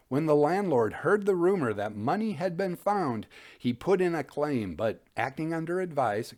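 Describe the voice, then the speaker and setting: A man hosting a storytelling show, reading old ghost tales and commenting on them in a measured, literary way. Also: mid-range voice, darkish tone. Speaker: podcast host and storyteller.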